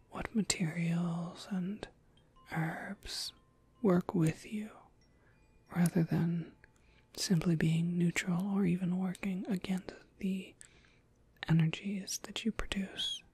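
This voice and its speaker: Woman doing a gentle witch shopkeeper asmr, super breathy whisper, really slow, polite n reassuring. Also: lowish voice, heavily acted. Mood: gentle, attentive. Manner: unhurried, polite, softly reassuring. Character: gentle, attentive witch shopkeeper crafting custom spells.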